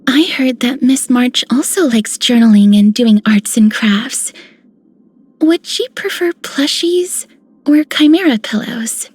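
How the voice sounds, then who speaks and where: Gentle game heroine, soft breathy voice, highish, shy, tender. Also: female voice, takes it slowly. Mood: hopeful, friendly. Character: gentle, shy, kind young woman. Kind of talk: scripted game character lines.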